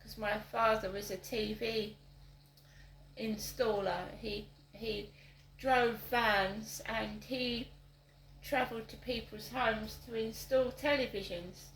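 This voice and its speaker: Slow rambling woman, roomy sound. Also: on the high side, steady tone, fairly bright tone, a little hiss or hum. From South East London. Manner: slow, meandering, with frequent ums and restarts. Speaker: vlogger. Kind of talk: casual rambling vlog monologue. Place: outdoors on a walk with a dog.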